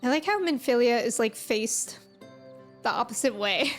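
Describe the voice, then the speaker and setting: A woman's voice: fairly high pitch, lively intonation, normal speed. A young woman streaming a game, chatting casually and greeting viewers. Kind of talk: casual live gaming commentary. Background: game music.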